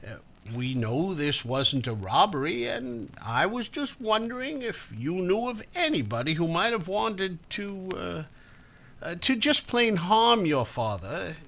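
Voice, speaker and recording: A folksy small-town police chief in a radio play, acted by a man, bright and fairly high in voice. He is hesitant and stammering as he questions, with lively swings of pitch. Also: unhurried, full character voice. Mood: hesitant, probing. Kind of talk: old-time radio acting.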